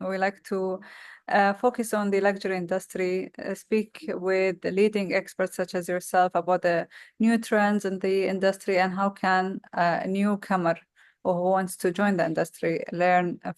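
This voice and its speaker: A woman hosting a podcast interview, asking questions politely and in a measured way with some fillers. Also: medium pitch, level tone, clear, resonant tone, dry recording, clean studio sound. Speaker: podcast host.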